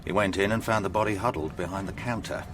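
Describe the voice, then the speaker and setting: An English police chief inspector in a radio play, low in pitch. His delivery is brisk and matter-of-fact, with an English accent and the air of a working policeman. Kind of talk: radio drama acting.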